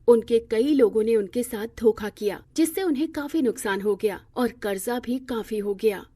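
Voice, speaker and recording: A woman reads a scripted bedtime moral tale as narrator. Her voice is mellow and a little high. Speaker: storyteller.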